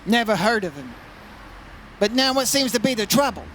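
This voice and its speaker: A man's voice: sits high, sing-song, moderate pace, fairly bright tone, slight background noise. A man playing a wry, teasing insurance investigator in an old-time radio detective play. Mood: probing, businesslike. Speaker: lead actor in a radio play.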